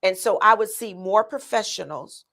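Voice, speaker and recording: Earnest gravelly-voiced woman candidate. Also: mid-range voice, normal speed, a bit bright. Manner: earnest, many ums and restarts. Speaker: county commission candidate. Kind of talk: answering interview questions.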